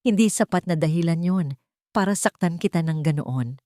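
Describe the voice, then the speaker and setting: A remorseful older Filipino woman in a romance audio drama , acted by a woman narrator, frightened and pleading. Her voice is low and soft-toned, with a dry, close sound. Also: moderate pace, dry recording, close to an everyday voice.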